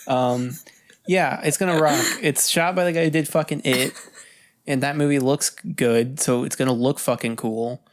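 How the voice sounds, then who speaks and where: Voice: mid-range voice, fairly even intonation, moderate pace. A podcast co-host chatting casually about movie news, swearing freely and often.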